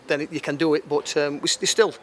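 A man in his fifties giving an unscripted post-match football interview, matter-of-fact and halting, repeating phrases as he goes. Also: a little high, steady tone. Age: 50s. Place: outdoors at a football ground at night. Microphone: handheld interview microphone. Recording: clear outdoor background noise.

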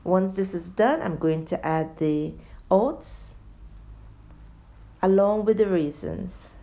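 A woman's voice: on the low side, takes it slowly, mellow. A woman giving a step-by-step cooking tutorial as a voice-over, addressing viewers directly as a home cooking host.

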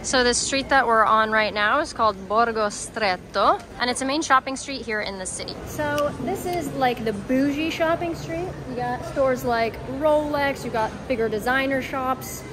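A woman's voice: high-pitched, moderate pace, hardly any pauses. Bubbly young woman vlogging outdoors. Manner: bubbly, joking, excitable. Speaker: travel vlogger. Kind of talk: casual travel vlog chat. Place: outdoors on city streets. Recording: street noise behind.

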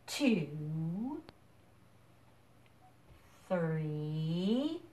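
A middle-aged woman leading an interactive storytime for young children, speaking in an animated, encouraging way with simple instructions. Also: low-pitched, extremely slow. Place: home kitchen, indoors. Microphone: camera a few feet away.

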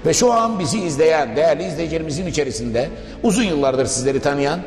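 Male TV interviewer, brisk and formal, slightly high voice, music behind. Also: expressive. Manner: wordy, formal, addresses viewers and guest politely. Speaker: interviewer, TV host. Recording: echoey reverberant room, music behind. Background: background music.

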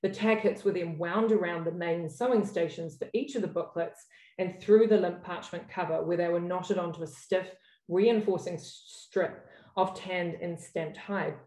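Middle-aged New Zealand woman, academic giving a workshop talk; low-pitched, careful, self-correcting; roomy video-call sound. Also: fairly even intonation, normal speed, warm tone. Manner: thoughtful, frequent um and self-corrections. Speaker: academic speaker opening a workshop. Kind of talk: scholarly lecture over slides.

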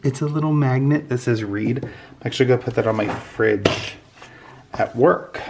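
A man's voice: medium pitch, moderate pace, creaky, noisy background. An American man in his thirties chatting casually in a vlog, chatty, his voice congested and giving out from a cold. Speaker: vlogger. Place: indoors at home. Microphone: handheld phone or camera at arm's length.

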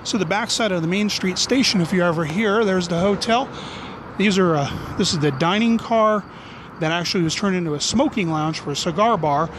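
A middle-aged man with a fairly high voice narrates a casual walking tour. He rambles along in a jokey, offhand way as a vlog host. He is outdoors on a handheld camera, with clear street and traffic noise around him. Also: fairly quick. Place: outdoors on city back streets. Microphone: handheld camera at arm's length.

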